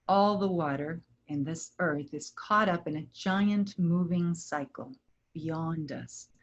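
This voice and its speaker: A woman plays a gentle, wise river spirit in a staged play. She speaks very slowly and instructively, in a lowish voice. The sound is a little dull and lo-fi. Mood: solemn, calm. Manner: measured, earnest, instructive. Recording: dull lo-fi recording.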